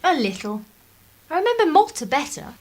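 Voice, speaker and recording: A woman acting a headstrong young English daughter in a radio play. Her voice is high and swoops expressively. She is assertive, idealistic and quick to turn sardonic. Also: big pitch swings, darkish tone. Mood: frank, assured. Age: young adult. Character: defiant, idealistic eighteen-year-old English daughter, sharp-tongued.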